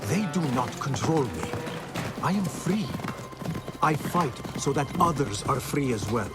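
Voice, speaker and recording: A man acting as an earnest, guarded young assassin in a video game, with a measured, resolute delivery. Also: medium pitch, sing-song, loud music behind the voice. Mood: defiant.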